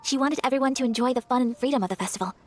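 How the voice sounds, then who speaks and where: Casting: actress for refined young game noblewoman, fairly high voice, polite, earnest, slightly hesitant, soft music underneath. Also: very fast, close to an everyday voice. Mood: wistful. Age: young adult. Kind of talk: video game character acting. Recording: faint music behind the voice.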